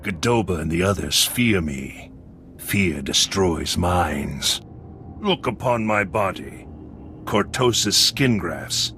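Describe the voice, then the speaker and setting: An actor playing a menacing cybernetic crime boss in a video game, with a grandiose, contemptuous, threatening delivery. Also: male voice, low voice, lively intonation, slow pace, pushed and bright, a little hiss or hum, exaggerated voice. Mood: boastful. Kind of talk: video game villain acting.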